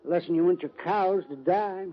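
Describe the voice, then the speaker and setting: An actor playing a blunt, folksy old-timer in a radio western, with a rough voice and an American Western drawl. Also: male voice, sits high, moderate pace. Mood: blunt warning. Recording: dull vintage radio recording.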